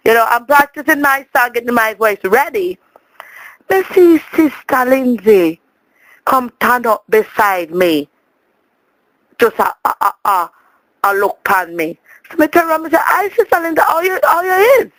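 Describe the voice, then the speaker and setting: Jamaican woman, expressive rambling comic storyteller, dramatic patois delivery, close dry sound. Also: a little high, measured pace, pauses often, no echo, rough recording. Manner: dramatic, chatty, repeats words for emphasis.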